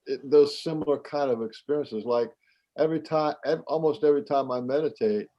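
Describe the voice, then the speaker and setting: A man chatting casually, speaking with some halting restarts. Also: medium pitch, lo-fi sound. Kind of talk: casual chat.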